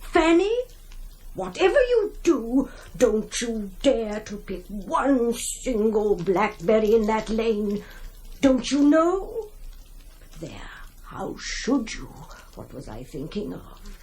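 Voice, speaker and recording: A woman's voice: on the high side, sing-song, slow, darkish tone, slight background noise, low-quality recording. A woman playing an old country grandmother in a radio drama, fussing, scolding and coaxing with old-fashioned turns of phrase. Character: old country granny, fussing, scolding yet fond storyteller. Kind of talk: radio horror drama acting.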